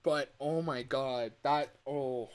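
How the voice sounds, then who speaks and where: A man chatting casually on a livestream in an excitable, expressive manner. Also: medium pitch, takes it slowly, smooth. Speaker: livestreamer and hobby voice actor.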